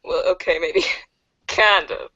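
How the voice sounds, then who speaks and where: A woman's voice: lowish voice, takes it slowly, sharp tone, husky, no room sound, heavily acted. A woman playing an evasive, teasing girl confiding in a friend in an amateur webcomic reader's theater, on a muffled recording. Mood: teasing, apologetic.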